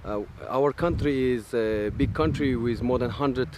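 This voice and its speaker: A man's voice: mid-range voice, background noise. Hesitant Kazakh-accented male tour guide. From South Kazakhstan. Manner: slow, hesitant, many pauses. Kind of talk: explaining as a guide. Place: outdoors at a canyon.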